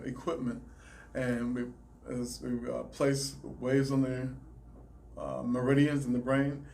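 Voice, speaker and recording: Measured Southern man with faint room hum. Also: mid-range voice, darkish tone. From Alabama, raised in South Carolina. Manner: measured, explanatory, some restarts. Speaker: chiropractor, guest. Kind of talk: interview answers, explaining.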